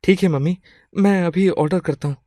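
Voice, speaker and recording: A man's voice: high-pitched, brisk, dark tone, no room sound, clean studio sound, pitched above the performer's own voice, lightly acted. A man voicing an easygoing, friendly adult son in a moral story, light and good-natured. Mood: puzzled. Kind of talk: Hindi moral-story character acting.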